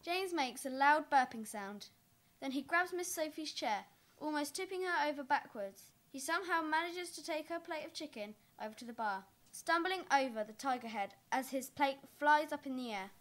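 A woman's voice: sits high, animated, normal speed, a bit bright. A young woman reading stage directions as scripted narration for a play. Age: young adult.

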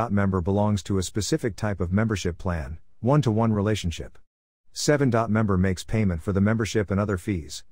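A man narrating a scripted slide tutorial, reading through lists in an even, methodical tone. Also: lowish voice, no room sound, studio quality. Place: voice-over over slides.

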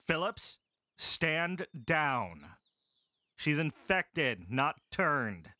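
An actor playing a kind but firm male army medic in a full-cast audiobook, speaking with calm authority. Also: fairly high pitch, extremely slow, no echo, exaggerated voice.

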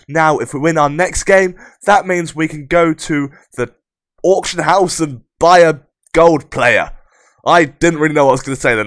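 A young man hosting casual game commentary, his voice croaky and rough from a sore throat, chatty and upbeat. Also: on the high side, a bit bright, no room sound, clean studio sound. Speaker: gaming channel host.

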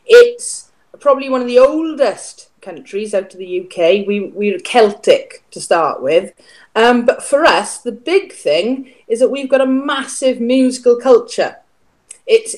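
A woman's voice: fairly high pitch, expressive, unhurried, a little mellow. A middle-aged woman with a Welsh accent, a music teacher chatting as a guest on a video call from home. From Wales. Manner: chatty, friendly, explains at length. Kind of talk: casual interview chat. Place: home room, indoors. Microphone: video call webcam.

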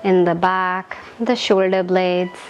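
A woman leading a guided meditation in a calm, gentle, soothing manner, giving instructions in short phrases with long pauses. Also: lowish voice, muffled recording, soft background music.

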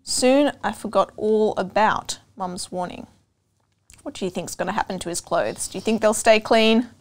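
A young adult woman reading a children's storybook aloud, expressive and deliberate, pausing to put questions to listeners. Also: mid-range voice. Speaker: storytime reader. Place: indoor room with couch and bookshelves.

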